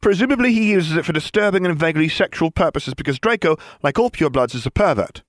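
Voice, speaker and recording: A brisk teenage girl rattles off gossip like a briefing, matter-of-fact and unbothered, as a man reads her part. Her voice sits a little high, and the sound is close and dry. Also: expressive, no room sound, lightly acted.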